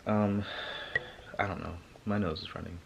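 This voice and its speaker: Stuffy low-voiced young man vlogging. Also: fairly even intonation, moderate pace, vocal fry, highs cut off, slight background noise. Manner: rambling, chatty storytelling with fillers. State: stuffy, congested. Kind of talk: casual vlog talk to camera.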